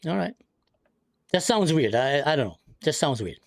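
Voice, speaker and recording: A man's voice: on the high side, big pitch swings, normal speed, gravelly, dry recording. A man who grew up in the Middle East, hosting a live stream and arguing insistently with a caller. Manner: insistent, argumentative, talks over the other speaker. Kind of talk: heated debate on a live stream.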